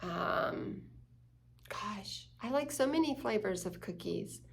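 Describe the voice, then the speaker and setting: A woman's voice: mid-range voice, lively intonation, takes it slowly, vocal fry, slight background noise. A woman hosting a children's story time, reading a picture book aloud and explaining it with questions to the young listeners.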